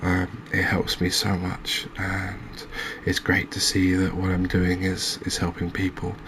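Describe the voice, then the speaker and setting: A soft-spoken man narrating a gaming recap in a calming, hesitant ASMR style. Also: low-pitched, unhurried, noisy background. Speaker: host of a cozy gaming channel.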